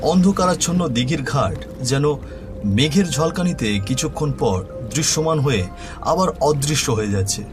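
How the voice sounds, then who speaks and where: A man narrating a scripted horror story in an expressive, dramatic way. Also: a little high, moderate pace. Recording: music bed behind voice.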